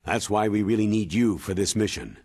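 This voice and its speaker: Deep, husky military commander. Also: male voice, darkish tone, no room sound, clean studio sound. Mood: serious, confiding. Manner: deliberate, dramatic, emphatic. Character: grave, authoritative older military commander giving briefing. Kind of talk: video game cutscene acting.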